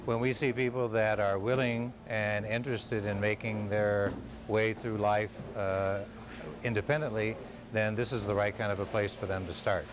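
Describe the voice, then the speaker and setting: Low, even-voiced man amid loud noise. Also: normal speed. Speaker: interviewee. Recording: very noisy background.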